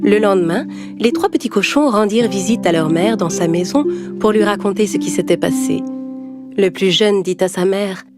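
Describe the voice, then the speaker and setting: A woman's voice: mid-range voice, normal speed. A woman storyteller reading a scripted fairy tale for young children. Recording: music behind the voice.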